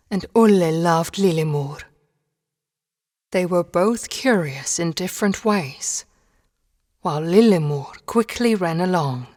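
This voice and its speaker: A woman narrating a dark fairy tale for a video game in a measured, solemn storytelling manner. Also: fairly low pitch. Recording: long reverberant hall-like echo.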